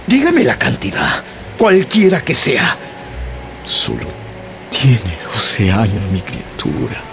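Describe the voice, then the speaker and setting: A grief-stricken older sea captain begging for help. He speaks slowly, with his pitch swinging wildly between highs and lows. A music score runs beneath. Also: huge pitch swings, gravelly, exaggerated voice. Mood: pleading. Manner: pleading, emotional, voice swinging wildly. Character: desperate older ship captain begging for his lost son. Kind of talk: radio drama acting. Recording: radio drama with music bed.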